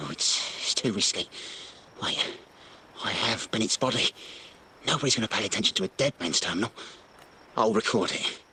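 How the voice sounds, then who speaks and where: A man's voice: on the low side, pushed and bright. An actor playing an uneasy crime family henchman in a video game, speaking in a tense, urgent way. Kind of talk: dramatic video game voice acting. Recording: noisy, night insect ambience behind.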